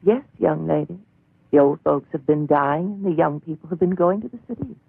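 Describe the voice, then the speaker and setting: An actor playing a lone old villager in a dubbed vintage horror film, courteous and plain-spoken, with a creaky, raspy voice and a slow folksy manner. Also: male voice, on the high side, no room sound. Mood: reserved, courteous. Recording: muffled tinny old film soundtrack.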